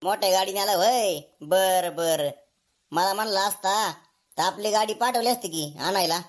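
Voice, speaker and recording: A woman's voice: mid-range voice, moderate pace, lightly acted. A fed-up husband in a comedy cartoon, played by a woman. He grumbles and mocks his brother-in-law at every turn, with dry sarcasm and a complaining manner. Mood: mocking. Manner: mocking, complaining.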